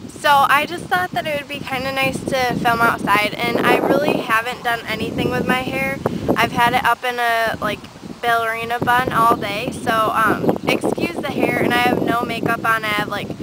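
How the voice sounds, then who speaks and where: A nineteen-year-old woman talks to her camera outdoors in a casual, rambling way, full of fillers and asides. Her voice sits fairly high. Loud wind noise and passing traffic run under the whole recording. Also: fairly even intonation, normal speed. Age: late teens. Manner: chatty, rambling with many fillers. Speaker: beauty vlogger. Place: outdoors on a windy day. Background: wind noise and passing vehicles.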